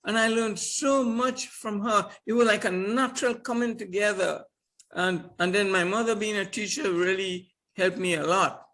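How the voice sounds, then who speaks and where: An older man with a lilt and a high-set voice. He tells his story in an animated way, often chuckling and doubling back on his words as a podcast guest. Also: normal speed. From Trinidad and Tobago. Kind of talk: casual storytelling interview.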